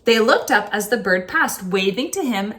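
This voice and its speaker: A woman reading a children's story aloud in an expressive, dramatic storytelling manner, like a teacher to a class. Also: mid-range voice, normal speed. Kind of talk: expressive children's picture book read-aloud.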